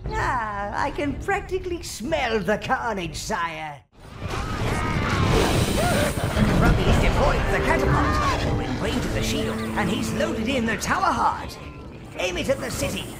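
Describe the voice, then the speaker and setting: Old goblin-ish minion advisor for a game, gleeful n scheming, pitch all over the place, loud music behind. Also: male voice, sing-song, measured pace, full character voice. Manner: theatrical, relishing destruction. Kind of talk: video game character acting.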